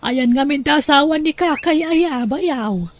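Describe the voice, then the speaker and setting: A woman playing a kindly, generous sister-in-law, talking gently and solicitously in an audio drama. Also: sits high, measured pace, slight background noise. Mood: concerned. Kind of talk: conversational audio drama acting.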